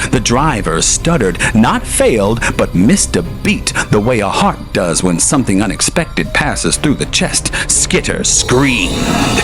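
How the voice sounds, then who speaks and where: A man narrating an audio drama in a measured, dramatic way that drops at times to hushed near-whispers. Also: on the low side. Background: faint music.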